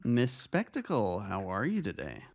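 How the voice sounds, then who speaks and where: A man voicing a kind, reassuring vice-principal in an audio drama, speaking gently and earnestly. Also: fairly low pitch, wildly swooping pitch, a little slow, no room sound, exaggerated voice. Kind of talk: audio drama fan fiction reading. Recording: music under the voice.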